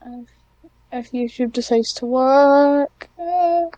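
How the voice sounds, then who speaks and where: A woman talks as a guest in a casual interview. Her voice sits a little high and has a mellow, relaxed tone. She speaks slowly and hesitantly, often putting herself down, in a dry, close recording. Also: dry recording, muffled recording. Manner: hesitant, self-deprecating. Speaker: guest, hobbyist audio script writer.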